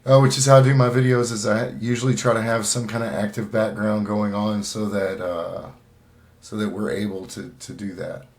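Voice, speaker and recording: Low-pitched man, casual halting host. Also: little pitch movement, a little mellow. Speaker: host of a writing tips show. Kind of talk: casual chat, thinking aloud.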